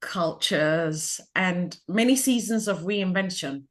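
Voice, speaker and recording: A middle-aged woman from Ghana, speaking calmly and thoughtfully about her life in an interview. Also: fairly low pitch. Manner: calm, measured, thoughtful. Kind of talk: reflective personal interview.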